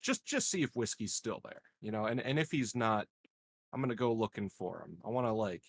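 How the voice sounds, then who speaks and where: A man playing a tabletop roleplaying game, saying what his character does in halting, casual speech. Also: on the low side, animated, gravelly, no echo, studio quality.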